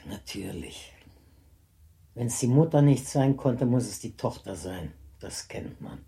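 An actress playing a resentful, sniping middle-aged pastor's wife in a radio drama. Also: female voice, a bass voice, expressive, measured pace, warm tone, full character voice. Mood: bitter resignation. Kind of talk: German radio drama acting.

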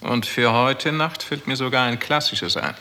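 An actor playing a smug, cynical superior with poetic pretensions in a 1960s German radio drama, suave and sardonic. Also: male voice, medium pitch, moderate pace, bright, forceful tone. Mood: amused, sardonic. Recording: reverberant hall, 1960s radio production.

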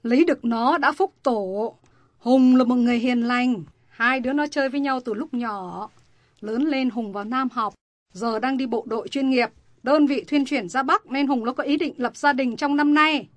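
An actress playing a strict, quick-tempered mother, scolding her daughters in a family drama. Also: high-pitched, no room sound, close to an everyday voice. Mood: persuading. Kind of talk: radio-style family drama.

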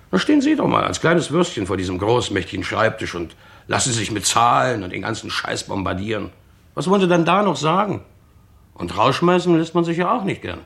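A defiant working man in a radio crime drama. His voice is gravelly and swings widely in pitch as he argues in earthy, coarse words. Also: a bit bright. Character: defiant, blunt male factory worker, gruff and plain-spoken.

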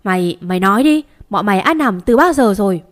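Woman doing a commanding, furious patriarch, loud and harsh, storybook read. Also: medium pitch, fairly quick, natural voice. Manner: shouting, interrogating. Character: stern angry wealthy older husband, commanding.

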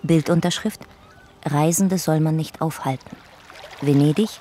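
A woman narrating a scripted radio drama in a measured, matter-of-fact way. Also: sits low, dark tone.

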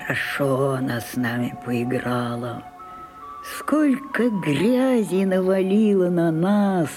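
An older woman playing a proud, weary old French stage actress in a radio drama, declaiming broodingly and dropping into breathy whispers. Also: on the low side, animated, takes it slowly, darkish tone, soft background music. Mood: bleak, bitter. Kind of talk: theatrical radio drama acting.

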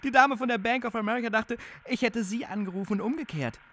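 An actor playing an excitable young telephone tinkerer who stammers and repeats his words in naturalistic radio drama dialogue. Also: very high voice, quick pace. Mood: amazed, excited. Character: excitable young male telephone tinkerer, easily amazed and flustered.

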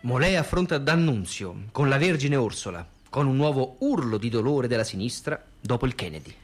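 Man narrating with lively, varied intonation. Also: medium pitch, normal speed, faint music behind. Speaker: narrator reading theatre history and reviews. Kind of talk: theatrical scripted narration.

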